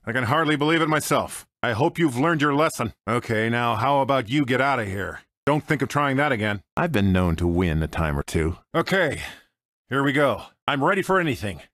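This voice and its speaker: Guy voicing a cocky american space smuggler for a game, dry wisecracks, really animated pitch, studio dry sound. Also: fairly quick, no room sound, close to an everyday voice. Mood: smug, wry. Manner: dry, sardonic, swaggering. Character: cocky, wisecracking roguish space smuggler and pilot, adult man. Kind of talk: video game character voice clips.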